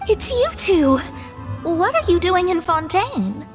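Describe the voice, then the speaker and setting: Proud young astrologer, high and lively. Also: female voice, slow, soft background music, heavily acted. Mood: surprised, brisk. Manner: precise, slightly formal, wry asides. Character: proud, studious young female astrologer, frank and matter-of-fact. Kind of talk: video game voice acting.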